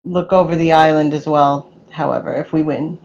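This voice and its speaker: A woman's voice: sits low, steady tone, normal speed, darkish tone, muffled recording. A woman playing a tabletop game, chatting casually and hesitantly over an online call.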